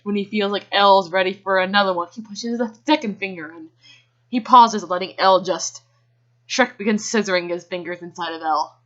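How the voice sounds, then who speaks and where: A woman reads fan fiction aloud in an amateur dramatic style, with casual asides. Her voice sits at a middling pitch. The home recording is slightly dull and lo-fi. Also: normal speed. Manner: giggly, often breaks off to react. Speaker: reader.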